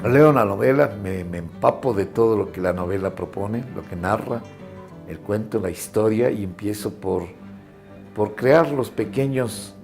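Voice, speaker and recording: A man speaking reflectively in an interview, halting phrase by phrase. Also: fairly low pitch, creaky, darkish tone, faint music behind.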